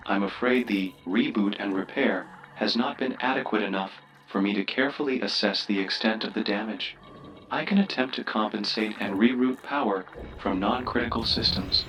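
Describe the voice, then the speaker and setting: A man voicing a polite, cautious starship AI in a sci-fi game, speaking in a formal, deferential, measured way. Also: fairly low pitch, fairly bright tone, far from the mic, faint music behind, rough recording. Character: polite, cautious male ship computer AI, formal and curious. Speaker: ship's computer voice assistant. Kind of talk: scripted sci-fi game voice pack.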